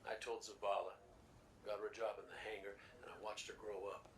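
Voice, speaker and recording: A man's voice: lowish voice, flat delivery, brisk, lightly acted. Male actor as sentimental wisecracking robot gunfighter, game dialogue, conversational and wry, noisy distant audio. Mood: fond, reminiscing. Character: wisecracking male robot gunslinger, gruff but sentimental, revived from death. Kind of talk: video game voice acting.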